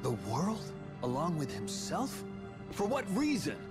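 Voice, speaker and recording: An actor playing an earnest young warrior with a solemn, determined manner in a video game cutscene. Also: male voice, on the high side, huge pitch swings, unhurried. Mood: questioning. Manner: solemn, plainly spoken, determined. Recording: loud background music.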